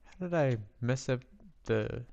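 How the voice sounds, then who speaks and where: A man with a raspy voice giving casual live gameplay commentary, rambling and thinking aloud in a self-deprecating, playful way. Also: mid-range voice, a little slow, dark tone, muffled recording. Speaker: game streamer.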